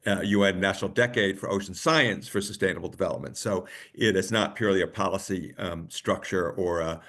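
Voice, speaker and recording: A man moderating a webinar panel, halting and often repeating words. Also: deep voice, fairly bright tone.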